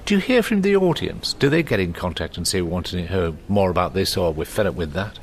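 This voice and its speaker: A man's voice: sits low, animated, a little fast, a little hiss or hum. A man interviewing people with conversational questions.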